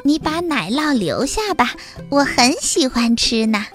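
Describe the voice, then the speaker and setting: A woman's voice: sits high, big pitch swings, measured pace, faint music behind. A woman voicing a kind, hospitable country mouse in a children's story, exclamatory and welcoming.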